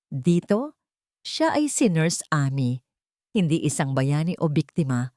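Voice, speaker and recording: A woman with a Filipino accent narrating a scripted romance story in measured, dramatic phrases. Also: on the low side, a little mellow, no room sound, clean studio sound.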